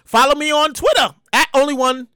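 A podcast host, talking casually and comically in an animated, slangy way with lots of repeated words. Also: high-pitched, brisk, dry recording, studio quality. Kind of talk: casual comedic monologue.

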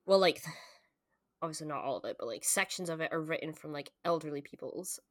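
Female podcast co-host, late 20s, slightly low voice, informal book-club chatter with loose, filler-heavy phrasing. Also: lively intonation, fairly quick, dry recording, studio quality. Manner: informal, frequent "like" as filler, mild swearing. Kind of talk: casual book-club chat.

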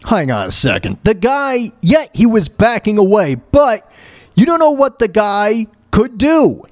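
A man hosting a talk show, giving blunt, emphatic opinions on news clips in a halting, repetitive, rambling way. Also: high voice, very animated. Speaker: show host.

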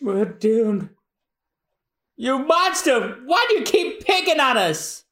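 A man voicing an excitable, loud young pirate sidekick from a comic, yelping with urgency. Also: extremely high pitch, takes it slowly, roomy sound, full character voice. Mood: excited, urgent.

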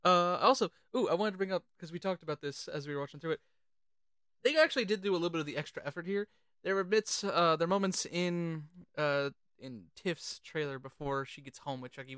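A podcast co-host talking casually and informally about films, animated and sweary. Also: mid-range voice, a little fast, clean tone, no room sound. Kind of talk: casual film discussion.

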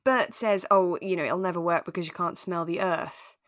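A woman co-hosting a relaxed discussion podcast. Her voice sits a little low and she talks conversationally, thinking things through aloud. The sound is dry, with no room on it. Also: normal speed, no room sound. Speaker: podcast co-host. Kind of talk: relaxed chat discussing a radio drama.

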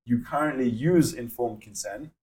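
A young man with a South African accent, a startup founder explaining his work in a podcast interview, measured and full of fillers. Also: medium pitch, darkish tone.

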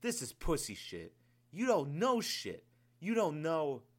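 A man voicing a grieving male army commander who curses and yells harshly. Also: a little high, wildly swooping pitch, normal speed, voice pushed up. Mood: contemptuous. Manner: harsh, yelling, cursing. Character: grieving male soldier commander, bitter and explosive.

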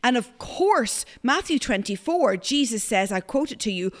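A woman's voice: fairly high pitch, big pitch swings, normal speed. A middle-aged woman with an Irish accent, hosting a conversational faith podcast in an emphatic, preacherly way and quoting scripture. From Ireland. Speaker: podcast host.

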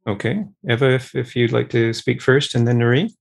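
A man moderating a group discussion, asking questions in a measured, thoughtful way. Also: mid-range voice, fairly even intonation, gravelly.

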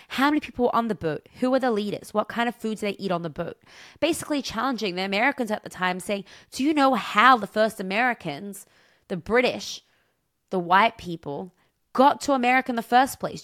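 A woman's voice: mid-range voice, dry recording, clean studio sound. A woman giving a religious lecture as a Torah teacher, impassioned and emphatic, with rhetorical questions and storytelling. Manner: impassioned, emphatic, dramatic shifts between stressed and hushed phrases. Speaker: Torah teacher giving a weekly portion talk.

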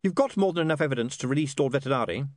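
A man's voice: mid-range voice, expressive, very fast, no room sound, studio quality, voice pushed up, natural voice. A man voicing a stubborn, nervy young newspaperman in an audiobook, clipped and supercilious. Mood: defiant. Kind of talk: audiobook character dialogue.

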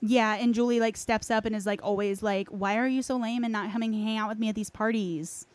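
A woman's voice: a little high, steady tone, brisk, no room sound. A woman in casual podcast conversation about game lore, phrasing loosely with lots of "like" as a filler. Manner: hesitant phrasing with frequent "like". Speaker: podcast speaker.

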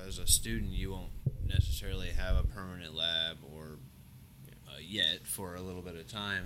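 A middle-aged man with a very deep, mumbling voice and a sharp, bright edge. He talks in halting, restarting phrases, chatting as a guest in a lab office, with faint room noise behind him. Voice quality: low, mumbling voice. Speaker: engineering professor, guest. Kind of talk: casual conversation. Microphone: shared podcast mic.

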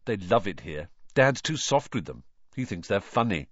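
A man's voice: on the low side, big pitch swings, normal speed, no room sound, dull audio. A man voicing a cheerful teenage boy who explains things breezily. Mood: knowing.

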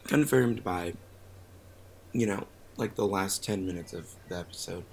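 Young guy cohosting a podcast, tired hoarse voice, low and croaky, bit of background noise. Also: measured pace, a little mellow. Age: early 20s. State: hoarse from losing his voice, tired. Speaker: podcast co-host. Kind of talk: casual pop culture podcast chat. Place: hotel room on a trip.

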